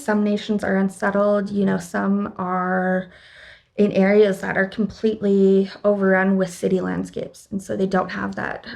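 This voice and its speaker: Halting young woman with a flat, gravelly voice. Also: medium pitch. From western Canada. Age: young adult. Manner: thoughtful, halting, many fillers and restarts. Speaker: guest. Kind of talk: casual interview talk. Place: conference venue.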